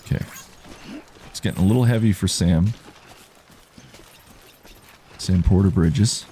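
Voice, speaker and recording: Relaxed male streamer, low dark baritone, easygoing commentary over light background noise. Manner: calm, unhurried, reads chat aloud. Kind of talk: relaxed live gameplay commentary.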